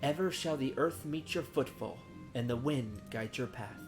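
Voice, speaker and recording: A man's voice: mid-range voice, lightly acted. Man voicing a solemn, wise fantasy guildmaster over music. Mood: gentle blessing. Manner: formal, measured, solemn. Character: calm, wise guildmaster and nature-magic mentor. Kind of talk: reading fantasy game dialogue aloud.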